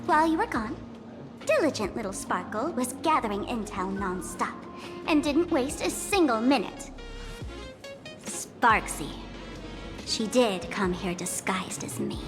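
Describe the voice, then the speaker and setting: A woman's voice: a little high, big pitch swings, unhurried, long reverb tail, music in the background. An actress voicing a playful, mischievous young woman in a video game cutscene, with a teasing, theatrical manner. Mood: wry, playful. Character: playful, mischievous young woman running a tavern gathering intel.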